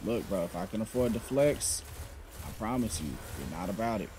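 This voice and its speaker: A man's voice: fairly low pitch, lively intonation, moderate pace, noisy background. A young man giving sarcastic, trash-talking commentary while playing a game. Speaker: gamer. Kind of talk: gameplay commentary.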